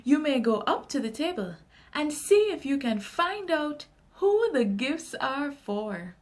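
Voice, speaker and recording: A woman with a Jamaican accent voicing a kindly old grandfather in a children's story, gentle and measured. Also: high-pitched, sing-song.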